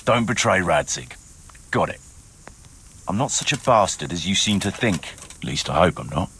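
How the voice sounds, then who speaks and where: An actor playing an irascible, brusque, foul-mouthed knight in a video game cutscene, with music behind. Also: male voice, low-pitched, big pitch swings, normal speed, a little hiss or hum, exaggerated voice. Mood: defensive, wry. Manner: brusque, forceful.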